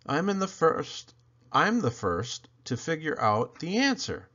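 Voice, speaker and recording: A man reading a children's picture book aloud in an animated storyteller style, with dramatic, emphatic phrasing and playful swoops. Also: fairly high pitch, measured pace, highs cut off.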